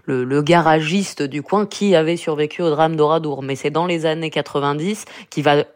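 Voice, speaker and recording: A woman's voice: low-pitched, moderate pace. A young woman from Limousin with a Limousin accent, speaking as a radio interview guest, hesitant and full of fillers and restarts. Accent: Limousin French. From Limousin, France. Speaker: guest, granddaughter of a massacre survivor.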